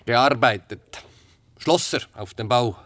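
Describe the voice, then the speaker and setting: An actor playing a taciturn former soldier in a radio drama, answering tersely and evasively. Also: male voice, mid-range voice, slow pace, dry recording. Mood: guarded, evasive. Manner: terse, flat one-word answers, evasive. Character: taciturn, guarded former soldier under questioning.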